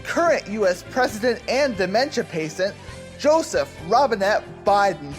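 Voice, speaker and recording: A woman's voice: mid-range voice, very animated, normal speed, faint music behind, natural voice. Woman as showy, swooping game-show announcer. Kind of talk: announcer parody.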